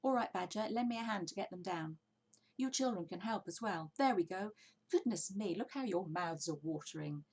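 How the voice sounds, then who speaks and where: A woman's voice: mid-range voice, lively intonation, moderate pace, darkish tone, slightly rough. A woman voicing a confident, bossy, jolly father fox in a children's storybook, exclaiming and giving orders. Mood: gleeful, bossy. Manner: exclamatory, commanding, persuasive. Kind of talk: children's storybook character reading.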